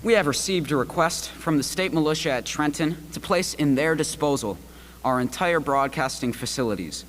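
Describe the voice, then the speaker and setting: A man's voice: fairly high pitch, normal speed, a bit bright, natural voice. A man playing a solemn broadcasting executive reading a formal, dutiful official statement in a radio play. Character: solemn male broadcasting company vice president. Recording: reverberant hall with faint hum.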